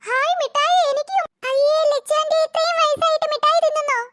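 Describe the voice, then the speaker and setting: A performer voicing a cheeky, candy-loving little child in a family cartoon, with a squeaky sound. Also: fairly even intonation, brisk, fairly bright tone. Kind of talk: Malayalam family cartoon dialogue.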